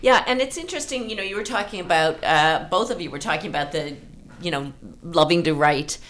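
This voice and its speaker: A woman with a slightly low voice hosts a literary discussion. She is effusive and works out her thoughts aloud as she goes. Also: expressive, brisk, a bit bright, a little hiss or hum. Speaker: podcast host, writer.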